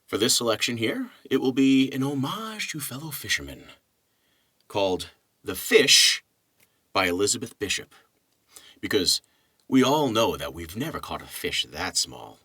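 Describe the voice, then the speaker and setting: A man who narrates and teaches literature, reading poetry and talking casually with deliberate, dramatic phrasing. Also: fairly low pitch, big pitch swings, pushed and bright, breathy edge, no echo.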